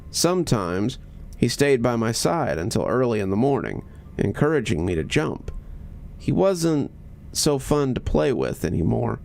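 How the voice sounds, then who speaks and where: Male horror narrator: dramatic scripted reading, animated pitch, gravelly creak in the voice.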